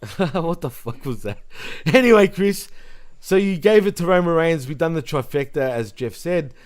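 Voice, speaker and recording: A man's voice: on the high side, lively intonation, moderate pace. Swearing, laughing male co-host. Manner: laughing, swearing, loose and joking. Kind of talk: casual banter on a livestream awards show.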